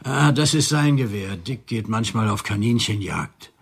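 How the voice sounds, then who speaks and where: An actor playing a resigned father of a runaway son in a radio play, speaking quietly. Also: lowish voice, expressive, measured pace, creaky, husky, full character voice.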